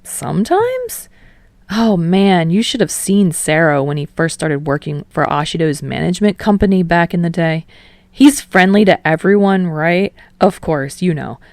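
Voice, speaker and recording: A woman's voice: on the low side, animated, unhurried, no room sound. A woman voicing an easygoing, earnest young man who talks in a casual, rambling way in a story reading.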